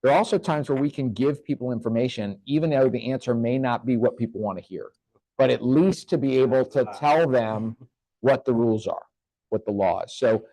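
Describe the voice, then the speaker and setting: A man speaking as a government official at a community meeting, answering questions in an earnest, measured way with occasional restarts. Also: mid-range voice, dark tone, no room sound, rough recording.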